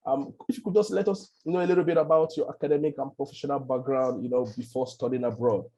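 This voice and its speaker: A man moderating an online panel over a video call, relaxed and leaning on "you know" as he talks. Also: mid-range voice, fast talker. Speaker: webinar moderator.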